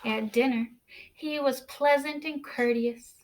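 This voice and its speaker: Slow, high-voiced woman reading to children. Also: steady tone, poor audio. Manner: deliberate, dramatic pauses, questions to young listeners. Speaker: teacher reading a picture book aloud. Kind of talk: expressive read-aloud for children.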